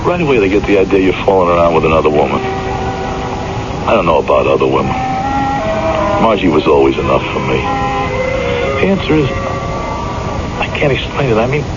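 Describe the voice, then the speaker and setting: A man's voice: low-pitched, big pitch swings, moderate pace, pauses often. A man playing a stubborn, defensive working-class bus driver in a plain-spoken, insistent way, in 1970s radio drama style. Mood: musing, confiding. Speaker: lead actor in radio drama. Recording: muffled vintage radio recording, heavy noise.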